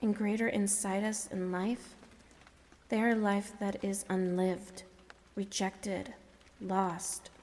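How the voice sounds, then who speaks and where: An actress playing a firm, probing woman who confronts a troubled man in an audio drama, earnest and deliberate. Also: mid-range voice, flat delivery, a little mellow, natural voice. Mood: grave, reflective. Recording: long echoing reverb.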